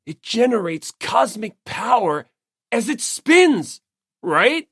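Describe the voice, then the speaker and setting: An eccentric young male fortune teller played by a woman in an audiobook. He rambles with earnest, energetic fervor in a raised, lively voice. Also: on the high side, takes it slowly, husky, no echo, clean studio sound, voice pushed up, heavily acted. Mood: fervent wonder.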